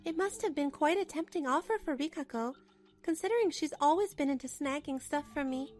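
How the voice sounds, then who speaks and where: A woman's voice: sits high, expressive, a little fast, a little mellow, soft background music. A woman narrating a manga dub as the wronged wife, in a chatty, dramatic inner monologue.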